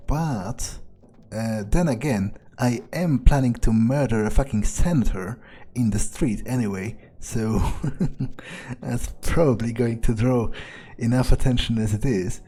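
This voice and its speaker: A man's voice: medium pitch, mellow, slight background noise. A man doing in-character roleplay commentary over a game, thinking aloud hesitantly with casual menace. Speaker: gaming video player. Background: faint music.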